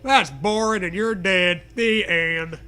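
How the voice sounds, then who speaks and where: A man trading casual comedic banter and reading aloud, joking in a deadpan, profane way. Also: high-pitched, slow pace, smooth. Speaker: co-host of a comedy reading show.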